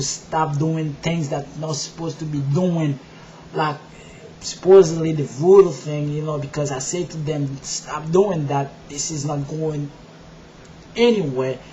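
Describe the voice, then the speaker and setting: A man from Haiti with a Haitian accent, telling his faith story to his viewers in a rambling way with many fillers, moving from soft and halting to loud and forceful. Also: fairly high pitch, highs cut off, a little hiss or hum. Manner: rambling, many "you know" fillers, quiet at first and growing loud and emphatic. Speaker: video blogger. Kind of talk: personal testimony to camera.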